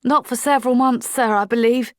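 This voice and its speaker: A woman's voice: a little high, fairly even intonation, brisk, dry recording, clean studio sound, pitched above the performer's own voice, close to an everyday voice. A woman voicing an earnest young woman soldier. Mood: dutiful. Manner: firm, apologetic, dutiful.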